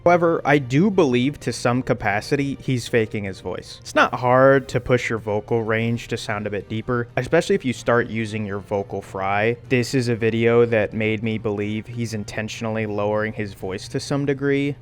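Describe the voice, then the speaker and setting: A man narrates a scripted commentary video in a casual, opinionated manner. His voice sits at a medium pitch, with faint music playing underneath. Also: moderate pace, few pauses.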